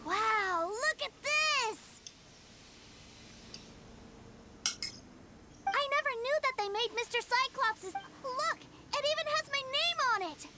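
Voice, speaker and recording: A woman's voice: lively intonation, normal speed. A woman playing an eager, innocent, chatty little boy in a video game, with a childlike voice. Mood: excited. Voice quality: childlike, high. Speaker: game character voice actor. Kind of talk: animated game voice acting. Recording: game music behind the voice.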